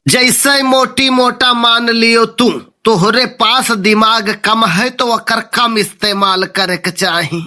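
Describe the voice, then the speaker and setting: Exasperated village dad for cartoon comedy, played by a man: Awadhi accent, slow delivery, sharp bright tone, sarcastic grumbling. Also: extremely high pitch, fairly even intonation, exaggerated voice. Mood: mocking. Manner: complaining, sarcastic. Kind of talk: comic cartoon dialogue.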